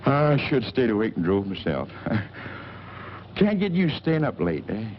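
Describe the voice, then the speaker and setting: An actor playing a plain-spoken small-town local in a 1940s film noir. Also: male voice, on the low side, sing-song, unhurried. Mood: gruff small talk. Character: plain small-town local man giving a stranger a lift. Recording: muffled old film soundtrack with hiss.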